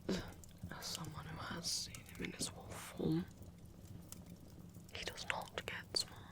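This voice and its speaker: A man playing a gentle, flirty male vampire lover in an intimate ASMR roleplay, speaking in a soft, measured, creaky half-whisper. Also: fairly high pitch, little pitch movement. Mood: wry, teasing. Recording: noisy older home recording.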